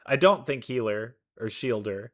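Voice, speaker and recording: A person giving casual, slangy, excited reaction commentary on a video game trailer, prone to exclaiming. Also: medium pitch, moderate pace. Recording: music playing behind the voice. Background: video game trailer music.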